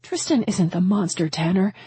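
A woman's voice: deep voice, slow pace, warm tone, breathy edge, no room sound, heavily acted. A woman voicing a hurt, defiant woman with an indignant, cutting manner in audiobook dialogue. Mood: angry, cold.

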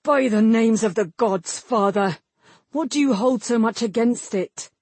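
Woman playing a proud young prince, husky. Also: lowish voice, unhurried, a little mellow, no echo. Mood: defiant. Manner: formal archaic speech, direct. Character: proud, defiant young prince, earnest and stubborn.

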